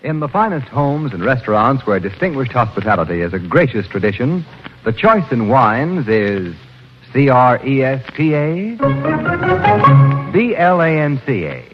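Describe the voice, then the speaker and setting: A man's voice: medium pitch, very animated. A man reading sponsor announcements in a grand, emphatic 1940s radio style. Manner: grand, drawn-out emphatic delivery. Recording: muffled old radio recording, music behind.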